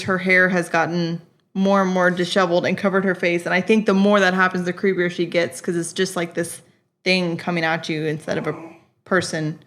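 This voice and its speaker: A woman guest on a horror podcast, telling stories in casual, lively chat. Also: fairly low pitch.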